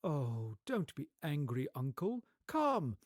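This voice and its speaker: A man voicing a cheerful, warm-hearted young nephew in a radio play, earnest, eager and exclamatory. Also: fairly high pitch, big pitch swings, slow, no room sound, studio quality, exaggerated voice. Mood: warm, pleading. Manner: bright, exclamatory, earnest pleading. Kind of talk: dramatic radio play reading.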